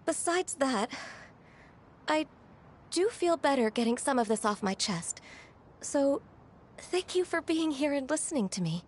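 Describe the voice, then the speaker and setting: An actress voicing a gentle, anxious, dutiful young-sounding female secretary in a video game, polite, earnest and hesitant. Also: high-pitched, lively intonation, a little slow, slight background noise. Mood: warm, flustered. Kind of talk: video game character acting.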